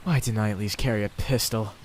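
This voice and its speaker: A man's voice: fairly low pitch, steady tone, fast talker, natural voice. An actor playing a flippant, self-mocking young man stranded in a fantasy world, theatrically muttering to himself as in an anime dub. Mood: exasperated, muttering. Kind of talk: anime dub internal monologue.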